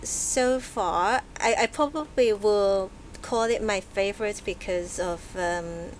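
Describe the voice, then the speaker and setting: A woman from Hong Kong, a podcast guest, talking casually and haltingly with many fillers and repeats. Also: medium pitch, slight background noise. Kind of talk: casual interview conversation. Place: book and music shop.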